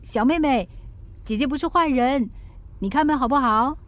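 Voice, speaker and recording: A woman's voice: high voice, lightly acted. A woman playing a kind but stubborn young delivery worker in a radio drama. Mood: gentle, coaxing. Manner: coaxing and earnest, quick to snap back.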